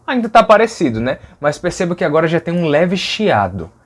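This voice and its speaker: A man's voice: on the high side, very animated, brisk. A man teaching a lesson in a conversational way, often tagging "né?" and sounding out speech examples. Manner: conversational, fillers like "né?", demonstrates sounds aloud. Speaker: language educator. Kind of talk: explanatory video lesson.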